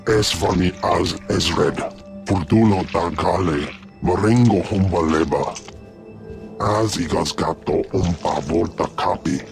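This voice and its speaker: Game alien, invented language, measured, very slow, music underneath. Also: male voice, low voice, gravelly. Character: alien male speaking slow, guttural invented tongue.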